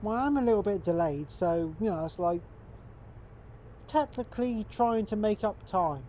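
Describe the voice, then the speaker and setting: Male gaming host, congested from a cold, warm low-key tone, relaxed running commentary. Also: moderate pace, a little hiss or hum. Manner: relaxed, chatty, talks to viewers as folks. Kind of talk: casual gameplay commentary.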